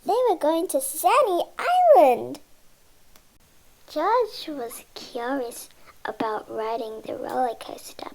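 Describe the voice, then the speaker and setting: A female reader reading a children's picture book aloud, halting word by word in short phrases. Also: high-pitched, creaky, darkish tone, rough recording. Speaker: storybook reader.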